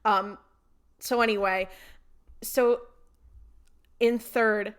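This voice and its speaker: A woman hosting a casual group podcast, chatty and playful and quick to laugh. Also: mid-range voice, fairly even intonation, smooth. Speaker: podcast host.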